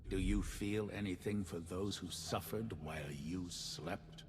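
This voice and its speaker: A cryptic male seer speaks in measured, partly whispered tones. His voice is lowish, over a steady game ambience hiss.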